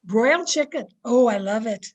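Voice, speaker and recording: A dotty, eager neighbour friend played by an actress in an old radio comedy. The voice is high and chirpy, with a gushing, sing-song delivery. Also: female voice, lively intonation, moderate pace.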